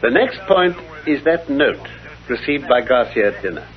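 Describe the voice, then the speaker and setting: A man playing a self-assured British gentleman detective in a vintage radio drama, speaking in precise, formal explanations. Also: medium pitch, very animated, normal speed, natural voice. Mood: reasoning. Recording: dull, lo-fi vintage radio recording.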